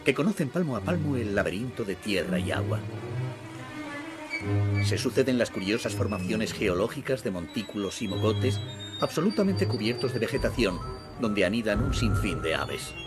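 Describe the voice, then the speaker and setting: A man reading scripted documentary narration in a measured, descriptive way. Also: on the low side, lively intonation. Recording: voice-over with loud music underneath. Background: music.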